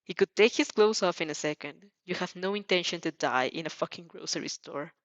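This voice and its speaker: A woman narrating fan fiction in the second person, expressive and dramatic, now and then stumbling and rereading a line. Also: lowish voice, a little fast, no room sound. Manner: expressive, stumbles over words and rereads lines. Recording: dull, muffled lo-fi sound.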